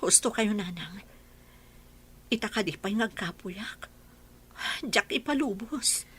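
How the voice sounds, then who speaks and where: A woman voicing a worried mother raising her daughter on her own, with a husky voice. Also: mid-range voice, lively intonation, slow pace, a bit bright, heavily acted. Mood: troubled.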